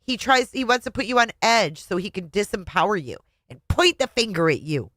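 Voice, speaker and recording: Woman reading as an emphatic therapist, lively pitch. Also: a little fast, slight background noise. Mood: assured, explaining. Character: validating, explanatory therapist.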